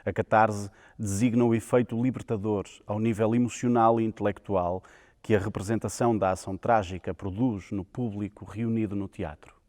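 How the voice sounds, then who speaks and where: Mellow European Portuguese male narrator, reverberant hall. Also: on the low side, fairly even intonation, normal speed. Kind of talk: scripted educational narration.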